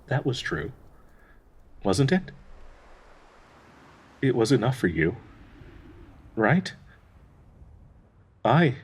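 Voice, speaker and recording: Man voicing a husky, slow-spoken, grieving dryad. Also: medium pitch, big pitch swings, heavily acted. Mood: pleading, insecure. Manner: tender, halting, wistful, pleading for reassurance. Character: gentle, grieving male dryad devoted to a sick companion. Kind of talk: intimate dramatic audio roleplay monologue.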